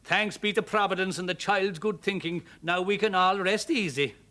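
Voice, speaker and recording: A narrator acts out a storybook Irishman of the factory floor, booming and kindly. He talks in an emphatic, folksy lilt, always ready to reassure. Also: normal speed, bright, forceful tone, natural voice. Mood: triumphant.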